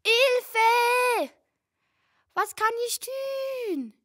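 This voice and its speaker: A woman's voice: very high voice, lively intonation, extremely slow, a bit bright, exaggerated voice. A woman acting a curious, stubborn young foreign newcomer in an amateur radio play. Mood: excited, alarmed.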